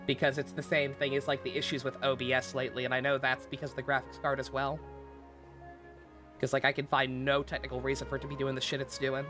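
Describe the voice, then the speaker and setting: A woman's voice: extremely low pitch, flat delivery, quick pace. A woman livestreaming a game, chatting casually to viewers in a rambling, joking way with repeated phrases. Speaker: game streamer. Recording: game music behind.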